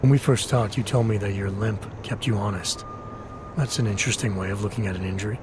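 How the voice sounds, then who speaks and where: An actor playing a terse, determined agent, the probing hero of a video game cutscene. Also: male voice, lowish voice, steady tone, quick pace, noisy background, lightly acted. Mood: probing, measured.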